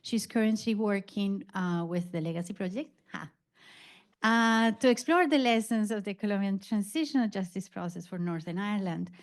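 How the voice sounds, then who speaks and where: A woman's voice: mid-range voice, normal speed. Woman moderator introducing speakers. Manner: formal, with frequent fillers. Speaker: event moderator.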